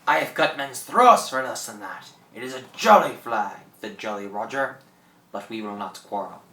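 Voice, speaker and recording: An actor playing a boastful, menacing old pirate in a theatrical radio play. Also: male voice, fairly low pitch, lively intonation, moderate pace, sharp tone. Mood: indignant. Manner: theatrical, gloating, cackling. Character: boastful old French pirate, greedy and menacing, newly revived. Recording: roomy, distant microphone.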